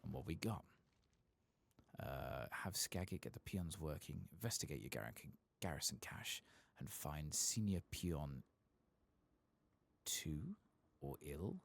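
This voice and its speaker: Super deep creaky guy doing quiet asmr gaming commentary, soft and casual. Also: expressive, a little slow. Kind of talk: soft-spoken, whispered ASMR game commentary.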